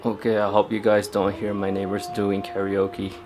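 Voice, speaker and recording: A man's voice: fairly low pitch, completely flat delivery, moderate pace, over music. A young man talking casually to camera at home about his sneakers, chatty and laid-back with lots of fillers. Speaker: sneaker vlogger. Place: at home indoors.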